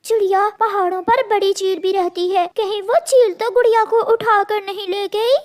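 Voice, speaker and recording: A woman's voice: very high voice, steady tone, normal speed, a little mellow. A woman voicing a chatty female parrot, a neighbour mother in a children's cartoon story. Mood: concerned.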